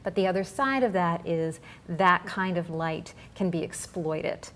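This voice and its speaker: A woman's voice: lowish voice, measured pace, a little hiss or hum. A woman with an American accent hosting an interview, conversational and articulate, with a wry streak and long, carefully built questions. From United States. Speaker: interview host.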